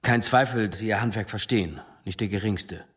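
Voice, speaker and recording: An actor playing a weary, guarded police chief in a radio drama, speaking formally and probingly with a superior's reproving edge. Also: male voice, lowish voice, brisk, a bit bright, husky. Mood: stern, irritated.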